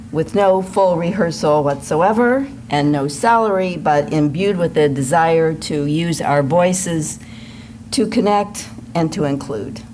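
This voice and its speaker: Low-voiced woman welcoming a congregation. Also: darkish tone, slight background noise. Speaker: worship associate at a church service. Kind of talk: welcome and announcements to a congregation, partly read. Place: congregation meeting room, live service.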